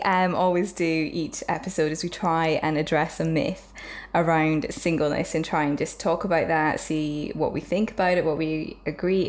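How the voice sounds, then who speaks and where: A woman's voice: low voice, darkish tone. A woman co-hosting a podcast about singleness, bubbly and laughing at her own slips. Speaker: podcast co-host. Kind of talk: casual podcast chat.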